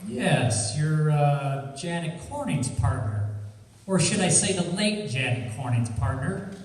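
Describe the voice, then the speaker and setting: Wisecracking amateur sleuth, played by a man, slightly high voice, breezy and sardonic, radio play in a very echoey hall. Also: sing-song, slow pace. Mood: cool, sardonic. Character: cocky wisecracking male amateur detective. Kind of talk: old-time radio detective drama acting. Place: college stage radio theatre performance. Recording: echoey hall from far off, faint noise.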